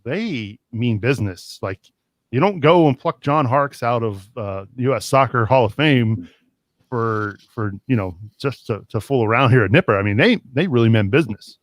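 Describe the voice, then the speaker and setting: A man's voice: mid-range voice, animated, moderate pace, no echo. Conversational male podcast host. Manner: conversational, steering the talk with questions. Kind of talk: casual sports podcast chat.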